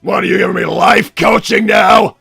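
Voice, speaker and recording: A man voicing a hammy, short-tempered mad-scientist villain on a comedic livestream, blustering and theatrical with a gravelly rasp. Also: sits high, fairly even intonation, moderate pace, faint music behind, exaggerated voice. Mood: distraught. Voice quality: gravelly, raspy shouting.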